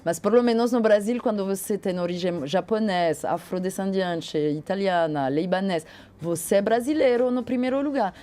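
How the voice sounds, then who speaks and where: French woman, mellow, halting radio guest. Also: medium pitch. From France. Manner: reflective, with frequent hesitations. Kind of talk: radio interview.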